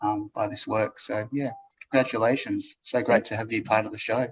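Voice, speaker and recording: A man's voice: fairly low pitch, little pitch movement, moderate pace, fairly bright tone, a little hiss or hum, low-quality recording. A man hosting an artist talk as gallery director, chatting in an easygoing and encouraging way with frequent fillers. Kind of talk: relaxed interview conversation.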